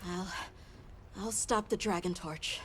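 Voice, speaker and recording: An actress playing an earnest young boy in a video game, hesitant but brave, with a halting delivery. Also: fairly low pitch, a little hiss or hum. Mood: quiet resolve. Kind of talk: video game cutscene acting.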